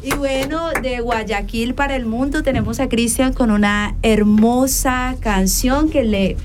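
A woman's voice: a little high, unhurried, faint music behind. A woman host presenting guests in an upbeat, praising way. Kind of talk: live show presenting.

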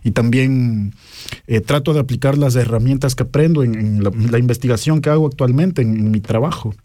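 A young Ecuadorian man speaks as an interview guest in a soft, mellow voice at medium pitch. He explains himself casually, a bit hesitantly, in a dry studio recording. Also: fairly quick, no room sound.

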